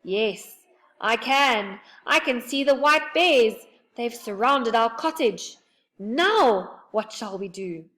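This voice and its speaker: A big father bear from a storybook speaks in a low growl, gruff yet kind. A woman reader acts him out. He turns worried when danger comes near. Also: lively intonation, takes it slowly, a bit bright. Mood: alarmed.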